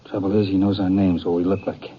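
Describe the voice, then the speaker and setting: A man playing a cold-blooded American outlaw in a radio western. His voice is fairly low, and he speaks tersely with domineering menace. The old recording is muffled and noisy. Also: level tone, fast, lightly acted. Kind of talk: 1950s radio western acting.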